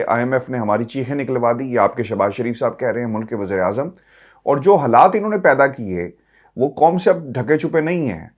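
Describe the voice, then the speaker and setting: Emphatic Pakistani man giving political commentary. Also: mid-range voice. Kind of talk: news commentary addressed to viewers.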